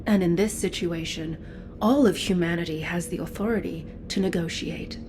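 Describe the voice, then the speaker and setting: A woman's voice: fairly low pitch, moderate pace, background noise, close to an everyday voice. A woman voicing a bold human negotiator who speaks firmly and challengingly. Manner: firm, challenging. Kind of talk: game cutscene dialogue. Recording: music underneath.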